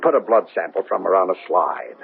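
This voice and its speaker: An actor playing an earnest, anxious country doctor in a 1970s radio drama, speaking haltingly and repeating words. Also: male voice, low voice, sing-song, creaky, slightly rough, soft background music, exaggerated voice. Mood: earnest, confrontational. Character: earnest, anxious country doctor confronting a scientist, loyal friend. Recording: tinny, noisy 1970s radio broadcast.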